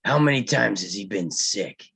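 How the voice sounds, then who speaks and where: A man playing a bossy, protective, hot-tempered mobster elder brother in a table read, with a husky voice. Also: lowish voice, expressive, normal speed, fairly bright tone, no echo, full character voice. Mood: angry, curt. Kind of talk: live table read of a film script.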